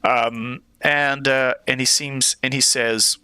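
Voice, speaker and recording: Bright, dry-sounding man as game master, slow and hesitant narration. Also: mid-range voice, steady tone, clean tone, no room sound. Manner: hesitant, many fillers.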